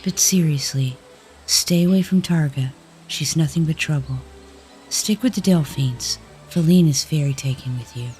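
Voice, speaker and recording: A woman's voice: sits low, normal speed, a little mellow, close to an everyday voice. A woman playing a worried, non-human expectant mother in a fantasy audio drama, candid and conversational with her friend. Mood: teasing, warning. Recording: faint music behind the voice.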